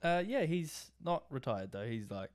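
A man's voice: medium pitch, sing-song, unhurried. A young Australian man co-hosting a podcast, chatting casually with a mate and laughing often. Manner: laid-back, laughing, slangy. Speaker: podcast co-host. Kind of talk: casual chat between mates.

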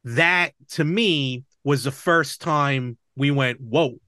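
A man's voice: mid-range voice, slow pace, bright, forceful tone, no echo, studio quality. A man hosting a podcast, chatting casually about movies and games. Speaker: podcast host.

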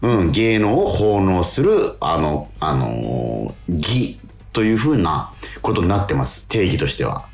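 A man's voice: sits low, big pitch swings, a little slow, gravelly, roomy sound. A man co-hosting a radio talk, telling stories in a polite, chatty way with repeated words and hesitations. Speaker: radio show co-host and stage actor.

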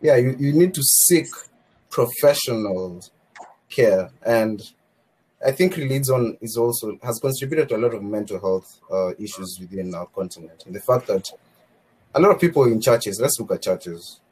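Husky-voiced man arguing a point. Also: medium pitch, expressive, normal speed, a little mellow, poor audio. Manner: earnest, argumentative. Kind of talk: opinionated podcast talk.

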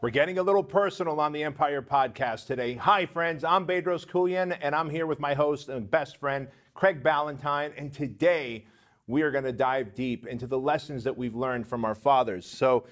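A man from Armenia co-hosting a podcast in a studio, telling stories in an animated, casual way. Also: on the high side, moderate pace. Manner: animated storyteller, upbeat show opener. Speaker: podcast co-host.

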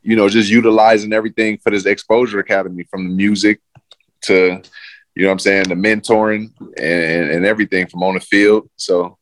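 A man's voice: lowish voice, level tone, brisk, fairly bright tone, slight background noise. A man in his thirties, a former pro football player, chatting casually as an interview guest with a loose, laid-back manner and lots of fillers.

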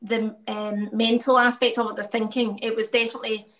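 A woman's voice: on the high side, little pitch movement, fairly quick, fairly bright tone. A woman painter talking reflectively about her work in a casual interview, often laughing.

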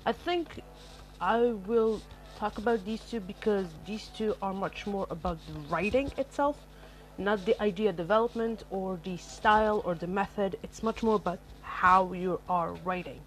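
A woman's voice: mid-range voice, moderate pace. A woman from Algeria whose first language is Arabic, hosting a solo podcast and giving casual, rambling advice with many fillers and self-corrections. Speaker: podcast host. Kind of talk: solo podcast talk on writing.

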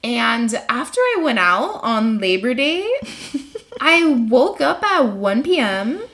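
A woman with a somewhat high voice chats easily on a podcast. She is relaxed and giggles between thoughts. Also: takes it slowly. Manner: easygoing, giggly. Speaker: podcast co-host. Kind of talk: casual chat.

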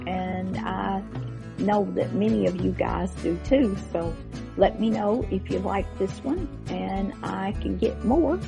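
Slow, creaky-voiced woman hosting over music. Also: mid-range voice. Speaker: podcast host. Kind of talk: casual chat to listeners. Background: plucked string music.

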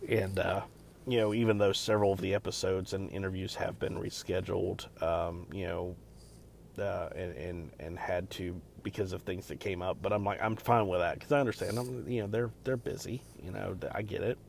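A man hosting a podcast alone, talking in a loud, deep voice and rambling casually with many fillers and restarts. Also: steady tone, moderate pace, gravelly, slight background noise. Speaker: podcast host. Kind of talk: casual solo podcast chat.

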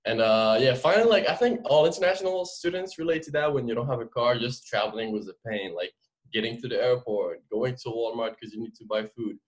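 A man's voice: mid-range voice, expressive, brisk, poor audio. A man in his twenties from France with a French accent, telling his story casually and informally as an interview guest, peppering it with filler phrases. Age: 20s. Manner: informal, explanatory, frequent "like" and "you know". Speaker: podcast guest.

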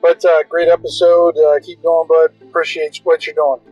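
A man's voice: a little high, little pitch movement, normal speed, a little mellow, no room sound, faint music behind. A man leaving a casual, chatty voice message on a dull, lo-fi recording. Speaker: podcast call-in listener. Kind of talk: casual chat.

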